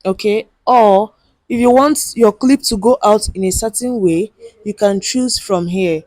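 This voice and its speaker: A woman with a loud voice teaching video editing step by step on a handheld mic, in a chatty way with lots of "okay" and "right?". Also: mid-range voice, a little slow, dry recording. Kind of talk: screen-recorded app tutorial.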